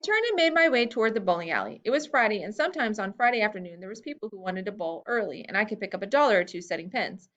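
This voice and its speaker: A woman reads a first-person story aloud, her pitch moving widely as she gives the quoted lines more lift. The recording sounds a little dull and lo-fi. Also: fast, few pauses. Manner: expressive reading that lifts pitch for quoted lines. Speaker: reader. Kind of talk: storybook reading of a memoir-style tale.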